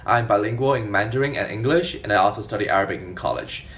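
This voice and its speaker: Quick, low-voiced young man vlogging. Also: fairly bright tone, distant mic. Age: 20s. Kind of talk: scripted explainer vlog to camera.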